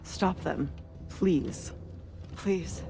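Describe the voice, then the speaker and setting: An actress playing an earnest ally in a video game cutscene, briefing a comrade. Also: female voice, on the low side, drawn-out words, vocal fry, mellow, faint music behind, heavily acted. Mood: pleading.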